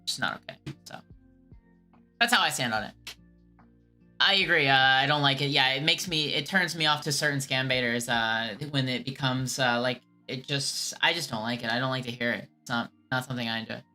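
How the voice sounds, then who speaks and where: A woman streamer who does scam baiting, answering viewer questions in a halting, earnest way with frequent restarts. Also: rumbling low, sharp tone, soft background music.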